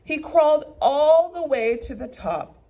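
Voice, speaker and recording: Woman, teacher and storyteller, high pitch, lively intonation, expressive children's read-aloud style, direct address. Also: measured pace, phone-quality sound. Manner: animated, addresses listeners directly.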